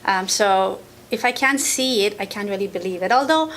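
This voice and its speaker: A woman raised in Japan whose first language is Japanese, telling her story as a guest in a casual interview in a thoughtful, measured way. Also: mid-range voice, a bit bright, slight background noise. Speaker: guest, Reiki practitioner.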